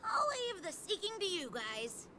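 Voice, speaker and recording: A woman's voice: extremely high pitch, huge pitch swings, a little slow, background noise, heavily acted. A woman voicing a carefree, playful young child in an anime video game.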